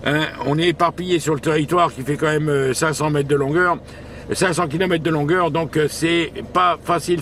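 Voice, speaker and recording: A man chatting casually as an amateur radio operator, speaking haltingly and repeating words. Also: a little high, fairly bright tone, slight background noise. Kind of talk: casual radio contact chat.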